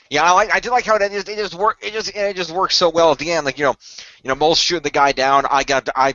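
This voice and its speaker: A man, a cast member of a radio play, talking quickly with frequent restarts in a casual online group chat over muffled audio. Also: medium pitch, bright, forceful tone, no echo. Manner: fast, restarts often.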